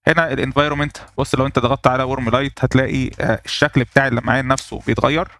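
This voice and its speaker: A man with an Egyptian Arabic accent talks quickly through a screen-recorded software lesson, explaining each click as he goes. His voice sits at a middle pitch and sounds dry and close to the mic. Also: steady tone, no room sound. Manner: explains step by step, thinking aloud with fillers. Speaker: software tutorial instructor.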